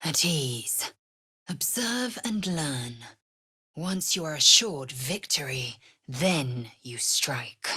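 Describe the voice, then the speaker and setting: An actress voicing a proud, fierce, scornful female alien warrior in a video game, with a husky, raspy voice and an imperious, clipped, deliberate way of speaking. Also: low-pitched, animated, pushed and bright, dry recording, studio quality, exaggerated voice. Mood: terse, commanding. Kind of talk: video game character voice lines.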